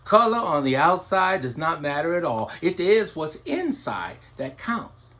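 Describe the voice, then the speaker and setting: A storyteller voicing a wise, generous blackbird who speaks in a calm, lowered, reassuring way. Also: a little slow. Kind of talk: storytelling with voiced characters.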